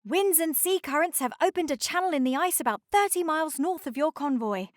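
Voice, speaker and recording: Woman voicing a brisk upbeat storybook helicopter, raised pitch. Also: expressive, dry recording, clean studio sound. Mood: upbeat, businesslike. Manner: crisp radio-call delivery. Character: brisk, upbeat female helicopter reporting good news. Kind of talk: children's storybook character voice.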